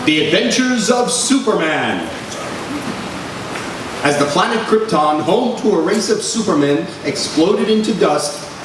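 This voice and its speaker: Man as vintage superhero radio narrator: declamatory, suspenseful, higher-set voice, heavy room echo. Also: far from the mic. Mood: grand, rousing. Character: dramatic male announcer-narrator of a superhero radio serial. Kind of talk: 1940s radio acting, scripted narration. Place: large room, live radio show recreation. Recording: roomy, noisy live stage recording from afar.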